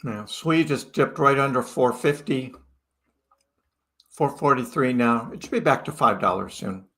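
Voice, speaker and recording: Guy from chicago chatting on a livestream, bright forward voice, greets people by name. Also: medium pitch, unhurried. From Chicago, United States. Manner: chatty, greets viewers by name, laughs often. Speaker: crypto livestream host. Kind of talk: casual live chat reading viewer comments.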